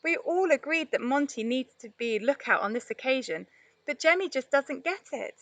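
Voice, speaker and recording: An earnest, kindly female elephant from a children's storybook, acted by a woman. Expressive and bright, the voice sits fairly high in a dry recording. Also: moderate pace, no room sound. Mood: dismayed. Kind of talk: children's storybook read aloud.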